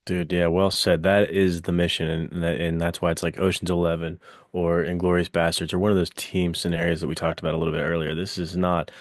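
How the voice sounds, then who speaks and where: A man's voice: deep voice, little pitch movement, fairly quick, no echo, studio quality. A man co-hosting a podcast in casual chat, speaking encouragingly and directly to listeners. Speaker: podcast co-host.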